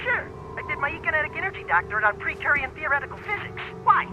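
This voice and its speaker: A man's voice: extremely high pitch, sing-song, fast, over music, low-quality recording. A man playing an anxious, sarcastic scientist in a video game, fretful and exasperated. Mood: dread, sarcasm. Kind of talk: animated video game acting.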